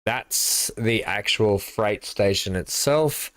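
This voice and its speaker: A man's voice: lowish voice, slow pace, no echo. A man streaming a building game, casually thinking aloud as he places things. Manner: thinks aloud, relaxed, mumbles placement choices. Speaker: gaming streamer. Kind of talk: casual live-stream commentary while building.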